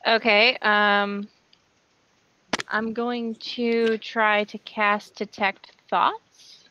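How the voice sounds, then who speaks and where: A woman's voice: medium pitch, level tone, extremely slow, bright, forceful tone, clear, resonant tone. A woman playing a tabletop role-playing game, talking casually over a video call. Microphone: computer microphone on a video call. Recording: dull, compressed online call audio.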